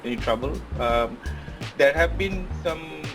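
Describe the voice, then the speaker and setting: A man's voice: on the high side, lively intonation, unhurried, over music, low-quality recording. A man from Bangladesh, a podcast guest, answering interview questions in a casual, hesitant way with many fillers and restarts. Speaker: podcast guest, software engineer.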